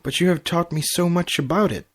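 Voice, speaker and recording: A man's voice: fairly high pitch, brisk, pitched above the performer's own voice, lightly acted. A man voicing a young female pony princess and bride, sincere and heartfelt, in a story reading. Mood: tender.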